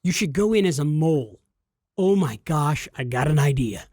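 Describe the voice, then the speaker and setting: A man's voice: fairly high pitch, moderate pace, no room sound, studio quality. A man co-hosting a gaming podcast, bantering and spinning absurd jokes with a straight face. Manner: goofy, spins absurd deadpan jokes. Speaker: podcast co-host. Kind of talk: joking casual podcast banter.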